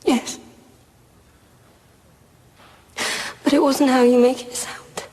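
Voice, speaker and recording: A woman playing a frightened, guilt-ridden teenage schoolgirl testifying in a courtroom audio drama, halting and emotional. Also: high voice, little pitch movement, warm tone, natural voice. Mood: hesitant, ashamed.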